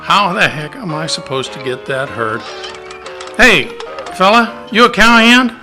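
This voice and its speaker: A man's voice: high-pitched, big pitch swings, a little slow, music in the background. A man playing a harried, pushy cattle-drive cowboy with folksy Old West speech in an amateur radio play. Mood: worried, frustrated.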